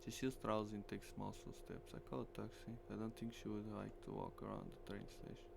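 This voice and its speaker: Man, gravelly, visual novel narration, streamer, noisy recording with hum. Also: lowish voice, level tone, a little fast. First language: Portuguese. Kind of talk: reading a visual novel aloud.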